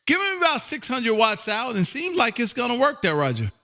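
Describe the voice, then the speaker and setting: Male American ham radio host, gravelly and high-voiced, exuberant, folksy delivery with pet phrases. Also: wildly swooping pitch, a little fast, background noise. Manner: exuberant, folksy, repeats catchphrases. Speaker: amateur radio operator and livestream host. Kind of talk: casual on-air ham radio chat.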